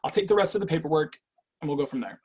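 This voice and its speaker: An actor playing a guarded, clinical agent with a cool, probing, businesslike manner in an online screenplay reading. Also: male voice, fairly high pitch, lively intonation, racing, a little mellow, husky. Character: guarded, clinical intake agent, weary but obedient man. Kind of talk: online screenplay table read.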